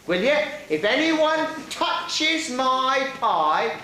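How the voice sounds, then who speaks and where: A man puts on a bossy, greedy panto dame. The voice is bright and slow, exaggerated and coaxing, played out to the house. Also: very high-pitched, sing-song, exaggerated voice. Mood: playful rallying. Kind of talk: pantomime stage acting, playing to audience. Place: theatre or hall stage, live performance. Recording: very echoey hall, faint noise.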